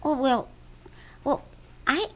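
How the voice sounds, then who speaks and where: An actress playing a spirited, loving and easily flustered wife in a radio mystery drama. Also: female voice, high voice, animated, slow, a bit bright, full character voice. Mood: puzzled, flustered.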